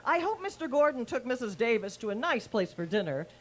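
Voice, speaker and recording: A woman playing a quick-witted schoolteacher with a dry, arch manner in an old-time radio comedy. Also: a little high, big pitch swings. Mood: wry, coy. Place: live stage performance at a radio history event.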